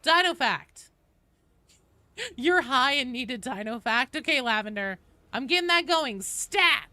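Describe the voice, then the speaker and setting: A woman's voice: high voice, expressive, unhurried, bright, forceful tone, no echo. A woman chatting casually and expressively as she hosts a gaming livestream, reading out and answering her viewers. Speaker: live streamer, host.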